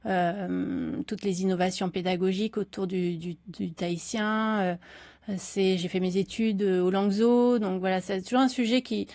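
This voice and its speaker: A woman speaks in an unscripted morning interview, pausing often and restarting her sentences as she searches for words. Her voice sits at a middle pitch. The sound is dry and close, with no room around it. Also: steady tone, a little mellow, no room sound. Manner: hesitant, many fillers and restarts. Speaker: journalist and documentary director, guest.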